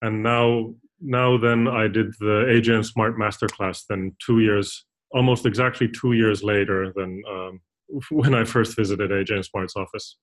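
A young man from Finland, a consultant who talks as a podcast guest in a halting, rambling way with many restarts. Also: on the low side, fairly even intonation. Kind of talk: casual interview talk.

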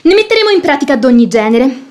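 A woman's voice: sits high, very animated, quick pace, a little mellow. An actress playing a bold, meddling maidservant in a classic radio comedy, bossy and emphatic in her scolding. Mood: scheming, brisk. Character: bold, meddling, sharp-witted maidservant, exasperated peacemaker.

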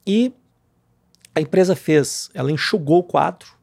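A man's voice: a little high, a little slow, gravelly, darkish tone, dry recording, clean studio sound. A man from southern Brazil talking informally as an interview guest about himself, peppering his speech with casual conversational tags. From southern Brazil, Rio Grande do Sul. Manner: informal, punctuated with tags like "né, cara". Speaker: guest, real estate marketing executive. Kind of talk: conversational interview, telling career story.

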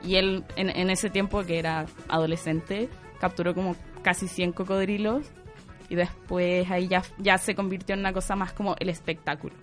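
A woman's voice: on the low side, fairly even intonation, normal speed, faint music behind. A woman co-hosting a casual podcast chat, telling a story with many fillers and frequent laughs. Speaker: co-host presenting the segment.

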